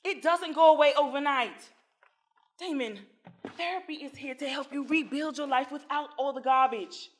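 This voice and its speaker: An actress playing a calm, assured therapist who coaxes her patient with patient, leading questions in a stage play. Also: high-pitched, normal speed, natural voice. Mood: reassuring. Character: calm assured female therapist, coaxing and firm.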